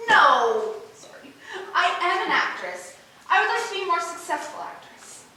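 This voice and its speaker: A woman's voice: very high-pitched, very animated, normal speed, bright, forceful tone, full character voice. A woman playing a vain, ditzy young actress with bubbly, emphatic chatter in a stage play rehearsal. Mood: eager, bubbly. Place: echoey indoor rehearsal room. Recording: very echoey room, distant mic, faint noise.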